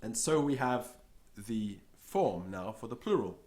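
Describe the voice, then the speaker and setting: A man's voice: fairly low pitch, lively intonation, unhurried, darkish tone. A man teaching a Latin lesson, who says each Latin word, repeats it and then explains it in English. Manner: acts out little scenes in a raised voice. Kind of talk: slow spoken language lesson.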